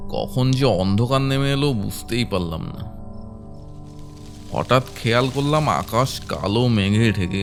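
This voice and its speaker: Guy narrating a spooky story in first person, lowish voice, music underneath.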